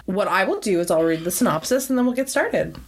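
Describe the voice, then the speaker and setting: A woman's voice: mid-range voice, animated, quick pace, husky. A woman co-hosts a casual film podcast. Her voice sits in a middle register. She has a playful, teasing way with her words. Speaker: podcast co-host.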